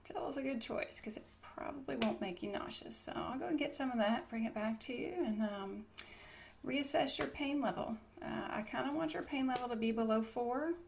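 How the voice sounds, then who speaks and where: Woman, higher-pitched but mellow, casual reassuring ASMR nurse roleplay, noticeable background noise. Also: normal speed, creaky. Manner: casual, reassuring bedside explaining. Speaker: ASMR creator playing a trauma nurse. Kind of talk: ASMR medical roleplay, personal attention.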